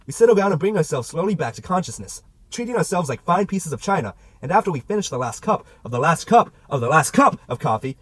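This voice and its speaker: A man's voice: a little high, dry recording. A man narrating a literary novel as an amateur audiobook reader, with a theatrical delivery.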